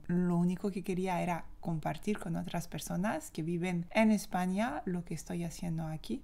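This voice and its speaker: French woman with a French accent, solo podcast host, soft warm tone, reflective and opinionated. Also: lowish voice. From France. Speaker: language teacher and podcast host.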